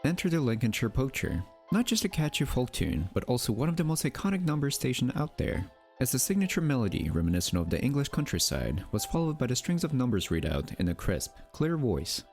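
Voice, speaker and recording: A man's voice: mid-range voice, very animated, fairly quick, darkish tone, soft background music. A man delivering scripted documentary-style narration in a theatrical manner, with playful asides and rhetorical questions. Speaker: narrator and presenter of a mystery video channel.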